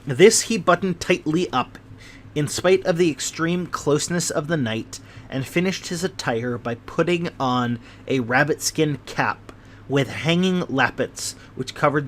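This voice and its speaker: A man reading a classic novel aloud as narrator, in a measured way with the odd slip and restart. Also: medium pitch, fairly bright tone, a little hiss or hum. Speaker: narrator and channel host.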